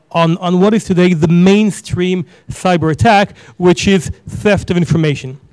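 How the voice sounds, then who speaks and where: A man's voice: a little high, a little mellow, no room sound, low-quality recording. Hesitant man speaking on a panel. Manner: hesitant, many fillers and restarts. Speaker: panelist lawyer. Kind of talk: conference panel talk. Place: conference stage before an audience. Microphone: handheld microphone.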